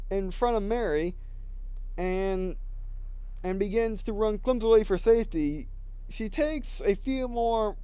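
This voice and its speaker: Guy with a high clear voice doing a lets play, reads story text and trips over lines, dry close sound. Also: dark tone, dry recording. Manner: halting reading, often stumbling and repeating phrases. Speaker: gaming video host reading a visual novel aloud. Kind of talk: let's play, reading game text aloud with casual comments.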